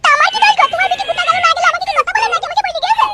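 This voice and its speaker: Cartoon housewife, acted by a woman: indignant, nagging, extremely high and quick, rural West Bengal accent. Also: heavy background noise. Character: nagging, indignant village wife and mother. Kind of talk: comic cartoon dub.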